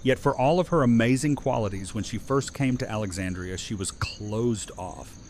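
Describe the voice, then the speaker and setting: A man playing a gentle, sincere friend in an audio drama. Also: medium pitch, normal speed, slight background noise, natural voice. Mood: tender, sincere. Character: Aaron, gentle, sincere man giving wedding toast. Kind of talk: fan audio drama acting.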